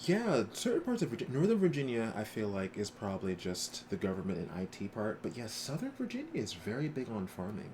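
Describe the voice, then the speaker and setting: An American man with a very low voice chats casually on a live game stream. He talks in a relaxed, informal streamer style. There is clear noise behind him. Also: a little fast, few pauses. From United States.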